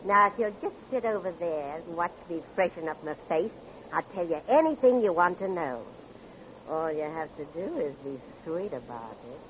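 Actress as coy, vain divorcee on muffled radio. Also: female voice, lowish voice, animated, normal speed, close to an everyday voice. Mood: flippant, arch. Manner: affected, coy, mannered. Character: vain, coquettish, flippant divorced woman. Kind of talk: 1950s radio crime drama acting. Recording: muffled, noisy vintage radio recording.

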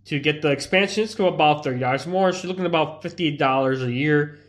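A man's voice: on the high side, quick pace. Congested young man rambling casually. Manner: rambling, loose, with many fillers and restarts. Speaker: streamer. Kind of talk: casual live-stream chat.

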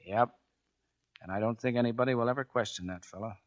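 An actor playing a gruff, commanding, plain-spoken Navy chief who tells his story, in 1940s radio drama style. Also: mid-range voice, quick pace, muffled recording, natural voice. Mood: subdued. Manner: barking orders, plain-spoken narration.

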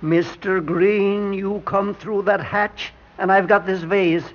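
An actor playing a stubborn, eccentric old elevator operator who stammers and mutters in singsong, in a classic radio drama. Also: male voice, high voice, steady tone, takes it slowly. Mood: defiant. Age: older. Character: crazy old elevator operator, stubborn, fond of his lift. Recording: dull, noisy old radio recording.

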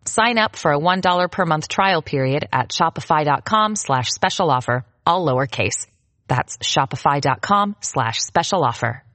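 Deep-voiced woman reading a bright ad. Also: expressive, moderate pace, dry recording. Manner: upbeat, salesy. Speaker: ad reader. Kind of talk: scripted ad read.

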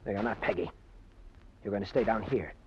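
An actor playing a haunted, evasive young violinist in an old radio melodrama, nervous and halting, often repeating himself. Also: male voice, lowish voice, a little mellow, natural voice. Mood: stern, agitated. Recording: dull, hissy old radio recording.

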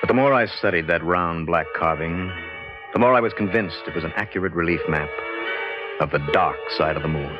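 An actor playing a level-headed, inquisitive explorer, narrating his discovery in a measured, dramatic old-time radio style. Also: sits low, highs cut off, over music, close to an everyday voice. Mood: awed, grave. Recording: band-limited old radio recording.

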